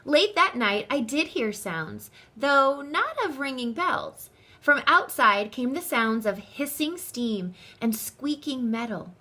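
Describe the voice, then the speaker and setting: A woman with a bright, forceful voice that sits a little high. She speaks like a teacher reading to children, expressive and instructive, with careful enunciation. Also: slow pace. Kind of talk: children's story read-aloud, instructive.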